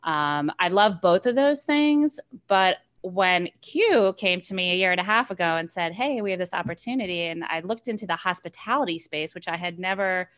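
A woman in her forties, a marketing executive on a podcast, talking in an energetic, excitable way that gets loud when she is excited. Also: on the low side, moderate pace, few pauses. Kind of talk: casual interview chat.

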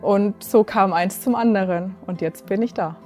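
Woman in her thirties, relaxed coach. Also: medium pitch, normal speed, soft background music. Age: 30s. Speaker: nutrition coach. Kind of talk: recruiting video interview.

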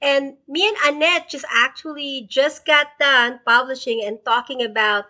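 Podcast host lady, really high bright voice, excited and welcoming. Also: moderate pace. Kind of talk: podcast interview.

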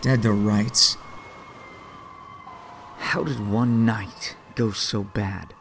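A man playing a wry, lovestruck 38-year-old vigilante hero who narrates his story in a superhero audio drama, with a husky, self-deprecating delivery. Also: on the low side, steady tone, extremely slow, a bit bright, slight background noise, heavily acted. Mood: weary, reflective.

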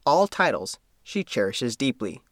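A man's voice: medium pitch, big pitch swings, measured pace, no room sound, studio quality. A man reading a scripted feature story as a reporter. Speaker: reporter and narrator.

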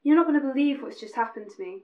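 A woman's voice: high-pitched, expressive, fast talker, darkish tone, muffled recording. A woman playing a chatty, sceptical railway worker in an amateur audio drama. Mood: shaken, insistent. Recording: clear background noise and ambience.

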